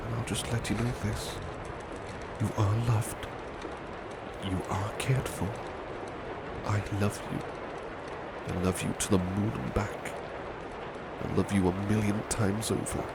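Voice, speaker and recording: A man playing a huge, gentle, devoted butler in an ASMR roleplay, speaking in a halting, formal, softly reassuring way. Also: on the low side, steady tone, creaky, very noisy. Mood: soothing, then tender, loving. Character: huge, gentle, devoted male butler, fanged, red-eyed. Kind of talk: ASMR roleplay, soothing personal attention.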